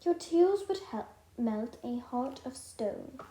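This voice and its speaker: A woman's voice: fairly high pitch, animated, slow pace, mellow. A woman voicing a male frog in a well, speaking in a coaxing, bargaining way. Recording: roomy echo.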